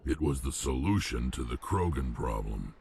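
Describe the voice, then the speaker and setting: An actor playing a gruff, proud, embittered male alien warrior in a video game, blunt and forceful, with a deep gravelly voice. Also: lively intonation, moderate pace, background noise. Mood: grim.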